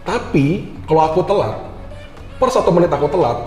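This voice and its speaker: A man's voice: a little high, sing-song, moderate pace, a little mellow, reverberant, faint music behind. A man with a Jakarta Indonesian accent chatting informally as an interview guest, mixing English words into his talk. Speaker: guest, IT university lecturer. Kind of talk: casual interview chat.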